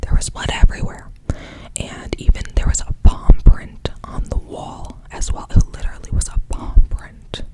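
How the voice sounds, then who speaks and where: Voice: normal speed, low-quality recording. A narrator whispering a true crime story in a casual, chatty way, leaning on "like" a lot.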